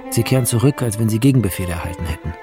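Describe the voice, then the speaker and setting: A man narrating a screenplay in a radio drama, in measured, terse phrases. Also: fairly low pitch, music in the background.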